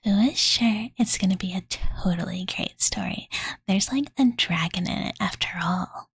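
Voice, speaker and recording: A woman voicing a playful, doting young dragon girl in soft, breathy ASMR whispers, with cutesy, ditzy phrasing, close to the microphone. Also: mid-range voice, normal speed, no echo. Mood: soothing, teasing. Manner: ditzy valley-girl filler talk, cutesy third-person self-reference. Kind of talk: whispered ASMR roleplay bedtime storytelling. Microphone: close Blue Yeti condenser mic.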